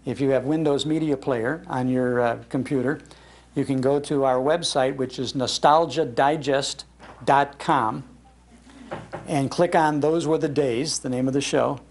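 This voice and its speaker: A man's voice: a little high, darkish tone. An American man in his sixties, a radio host giving an informal talk to an audience. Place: public library meeting room.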